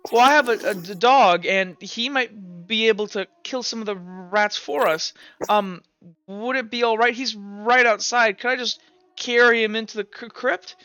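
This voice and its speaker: A man's voice: high-pitched, pushed and bright, clear, resonant tone, no echo, voice pushed up. Man putting on a stammering timid young man. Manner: stutters, halting and hesitant.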